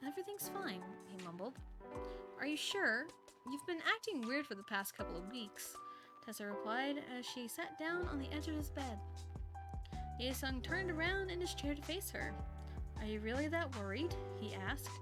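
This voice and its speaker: A woman's voice: high-pitched, sing-song, moderate pace, over music. A woman streamer reading fan fiction aloud in a casual way, given to breaking off for offhand reactions of her own. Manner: breaks off to react and giggle at the story. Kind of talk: casual live story reading with offhand asides.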